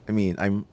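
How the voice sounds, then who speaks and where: Chatty man commenting on a game. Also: lowish voice. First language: Filipino. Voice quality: relaxed, chatty, comments while playing. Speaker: gaming channel host. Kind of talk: casual gaming commentary.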